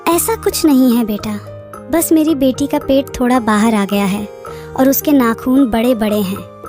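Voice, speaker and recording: Lady doing a sneaky fox uncle for a kids story, high voice, sweet-talking, music behind. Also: unhurried, close to an everyday voice. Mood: persuasive. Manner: wheedling, theatrical flattery. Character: cunning, flattering male fox uncle, scheming trickster. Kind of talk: children's moral storybook character voice. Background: children's music.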